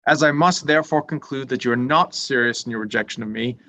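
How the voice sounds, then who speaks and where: A man's voice: mid-range voice, quick pace, bright, forceful tone, a little hiss or hum. Man acting a pompous, long-winded young clergyman. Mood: complacent, undeterred. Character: pompous, self-important, obsequious young English clergyman. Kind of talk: staged reading of a period drama.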